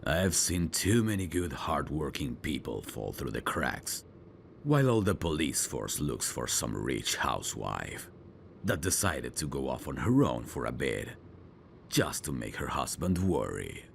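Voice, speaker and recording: A man acts out a gruff veteran police detective in an audio drama, with an extremely low voice full of gravel. He drawls slowly and sardonically, a tough, world-weary cynic. The husky delivery still rises and falls expressively. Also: sing-song, slight background noise, full character voice. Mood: cynical, annoyed. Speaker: detective mentoring a rookie. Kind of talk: scripted roleplay audio drama.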